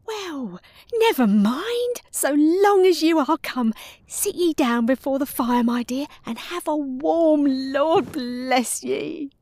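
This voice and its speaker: A woman's voice: sits high, lively intonation, takes it slowly, darkish tone, no room sound, exaggerated voice. A woman playing a kindly, fussing working-class mother in an audio drama, speaking affectionately. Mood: fond, fussing. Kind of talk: audio drama acting of Dickens.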